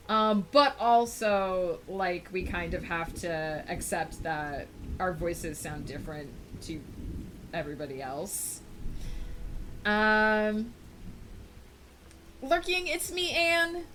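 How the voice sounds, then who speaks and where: A woman livestreamer in casual chat with her viewers, rambling thoughtfully with many fillers and brightening up to greet people in chat. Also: medium pitch, a little hiss or hum. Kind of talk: casual chat with a live audience.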